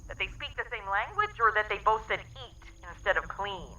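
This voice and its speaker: A woman's voice: medium pitch, big pitch swings, normal speed, crowd noise, close to an everyday voice. A woman playing an incredulous, exasperated space crew member in a sci-fi comedy audio drama. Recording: distant from the microphone.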